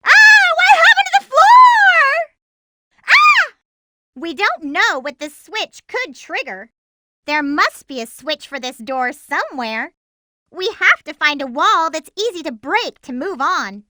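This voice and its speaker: Perky girly video game heroine, super animated swoopy pitch, emphatic, dry close studio sound. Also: no echo, heavily acted. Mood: panicked, then cautious, instructive. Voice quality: girlish, high-pitched. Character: excitable, determined, lovestruck young girl adventure heroine.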